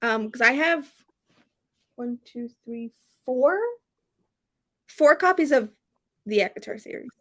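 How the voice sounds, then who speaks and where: A woman hosting a book readalong, chatting casually and excitedly, with an emphatic, gushing way of repeating herself. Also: on the high side, takes it slowly, long pauses. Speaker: host of a book readalong live stream.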